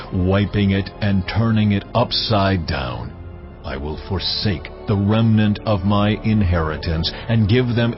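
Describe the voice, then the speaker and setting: A man voicing God, solemn and stern. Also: deep voice. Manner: slow, weighty proclamation. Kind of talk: dramatized audio Bible. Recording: dull lo-fi audio over music.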